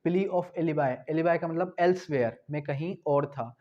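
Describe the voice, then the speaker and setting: A man from Pakistan giving an exam-prep law lecture, asking questions and then answering them, spelling out key terms slowly. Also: fairly high pitch, dull audio. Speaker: law tutor.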